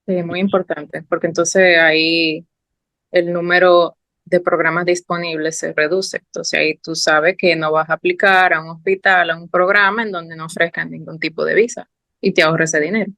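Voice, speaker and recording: A woman's voice: lowish voice, steady tone, fairly bright tone, no room sound. A young woman with a Dominican accent moderating a casual panel, affirming points and reading out audience questions. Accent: Dominican Spanish. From Dominican Republic. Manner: brisk, affirming, reads out questions.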